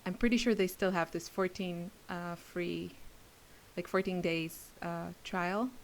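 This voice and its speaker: Slow-paced rambling, giggly woman chatting. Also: fairly low pitch, steady tone, slight background noise, rough recording. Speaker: craft video creator and class teacher. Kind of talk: casual rambling chit-chat to camera.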